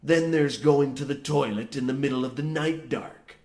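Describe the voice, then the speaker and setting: An actor playing a grizzled, half-mad old coal miner, boastful and ominous, rambling theatrically in rustic archaic phrasing in a comic old-time radio horror play. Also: male voice, mid-range voice, normal speed, a little mellow, lightly acted. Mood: earnest, rambling. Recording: roomy, echoing sound.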